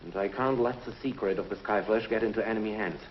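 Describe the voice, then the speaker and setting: An actor playing a grave, hesitant galactic commissioner in a 1950s TV drama, on a muffled old soundtrack. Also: male voice, lowish voice, fast, slight background noise, natural voice. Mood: solemn. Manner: hesitant, solemn.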